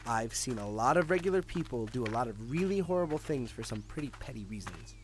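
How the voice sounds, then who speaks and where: A man's voice: medium pitch, lively intonation, brisk, soft background music, lightly acted. A man acting a confident, cynical police detective with a sardonic, matter-of-fact manner in an audio drama. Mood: sardonic, assured.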